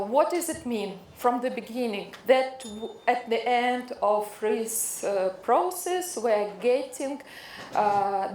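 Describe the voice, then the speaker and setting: Middle-aged woman, Ukrainian accent, slightly high voice, halting medical lecture delivery, echoey room. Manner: halting, hesitant non-native phrasing with frequent searching for words. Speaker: dermatologist lecturer. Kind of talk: introductory medical lecture to an audience. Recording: echoey room with faint background noise.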